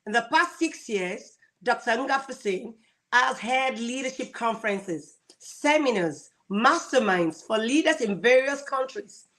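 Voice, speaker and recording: A woman's voice: a little high, animated, a little slow, fairly bright tone, low-quality recording. A woman hosting an interview, reading a guest's introduction and asking questions with enthusiasm. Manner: enthusiastic, laughs often. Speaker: host.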